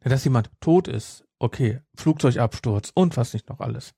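A man's voice: medium pitch, lively intonation, normal speed, gravelly, warm tone, slightly rough, no room sound, studio quality. A man chatting casually as a podcast co-host, rambling and repeating his phrases. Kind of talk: casual chat.

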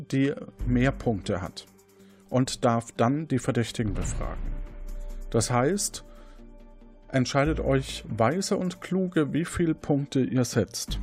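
Male quiz moderator: expressive pitch movement, slow measured delivery, faint music underneath. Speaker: quiz show host. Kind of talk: game show moderation.

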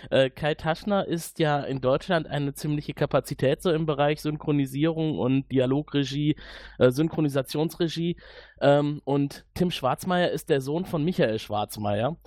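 A man's voice: on the high side, a little mellow, dry recording, clean studio sound. A man chatting casually as a podcast host.

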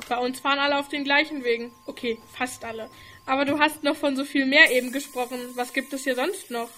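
Young female actor, very high bright voice, as a brisk, inquisitive schoolgirl in an amateur radio play, slight noise behind. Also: steady tone. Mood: curious.

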